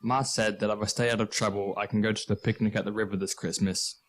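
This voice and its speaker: A man's voice: fairly low pitch, fairly even intonation, fast, close to an everyday voice. A man playing an earnest, dutiful Australian schoolboy in a nostalgic radio play. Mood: defensive. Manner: earnest, exasperated.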